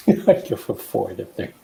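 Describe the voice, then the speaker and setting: A man's voice: lowish voice, sing-song, unhurried, vocal fry, mellow. A man bantering casually with fellow panelists on a podcast. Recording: faint background noise.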